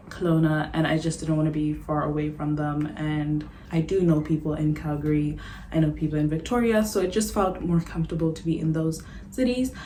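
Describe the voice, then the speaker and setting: A woman's voice: low voice, dark tone, echoey room, a little hiss or hum. A young woman from Zimbabwe, a vlogger talking casually to camera, chatty and a little self-conscious, often laughing. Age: young adult. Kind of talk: casual vlog monologue. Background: background music.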